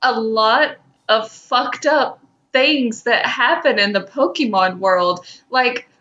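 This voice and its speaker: A woman's voice: a little high, slow pace, bright, forceful tone. A woman co-hosting a podcast in casual, conversational banter, on a slightly dull, lo-fi recording. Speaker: podcast co-host.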